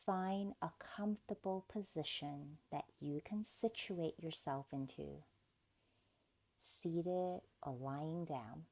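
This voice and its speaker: A woman leading a guided meditation in a gentle, soothing, deliberate manner, leaving pauses between phrases. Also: low voice. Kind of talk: guided meditation, scripted narration.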